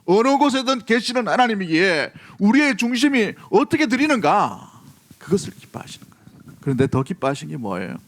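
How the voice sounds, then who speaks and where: A man's voice: sits high, sing-song, brisk, a bit bright. Emphatic Korean male preacher. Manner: emphatic, repeats key phrases, questions the congregation. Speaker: pastor preaching. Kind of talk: sermon.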